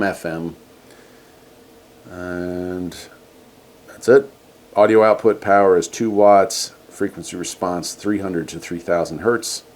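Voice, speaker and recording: A man's voice: low-pitched, darkish tone. A man hosting a casual hands-on product review, talking in a laid-back, folksy way. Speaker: host of a radio gear review channel.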